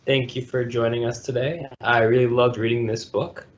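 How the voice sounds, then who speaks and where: A man's voice: medium pitch, level tone, moderate pace. A man hosting a storytime, reading a children's picture book aloud over a video call. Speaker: storytime reader and host. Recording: dull lo-fi video call audio.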